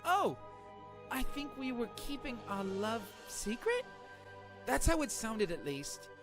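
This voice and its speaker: A woman voicing a confused, awkward gentleman with a British accent in an animated comedy mystery. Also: a little high, slow, over music. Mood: sheepish, confused. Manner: blunt, awkward, easily flustered.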